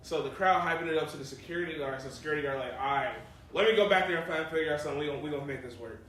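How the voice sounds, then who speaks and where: A man's voice: a little high, rapid-fire, fairly bright tone. An American man co-hosting a podcast, telling stories casually in slangy, profane language and acting out what people said. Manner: slangy, profane, acts out quotes. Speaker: podcast co-host. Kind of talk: casual animated storytelling among friends. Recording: very echoey room, distant mic, faint noise.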